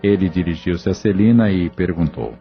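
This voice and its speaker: A man narrating an audio drama in a measured, solemn way. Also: low-pitched. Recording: dull lo-fi audio with faint music.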